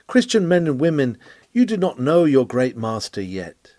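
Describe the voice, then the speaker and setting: Male sermon reader, earnest exhorting oratory, lively intonation, dry close sound. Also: mid-range voice, moderate pace, dry recording. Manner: earnest, pleading, emphatic oratory.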